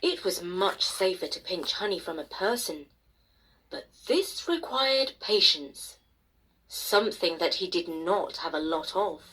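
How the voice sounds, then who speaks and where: A woman storyteller narrating a bedtime tale expressively, with dramatic pauses and emphasis. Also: on the low side, animated, fairly bright tone, husky, low-quality recording. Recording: faint noise behind the voice.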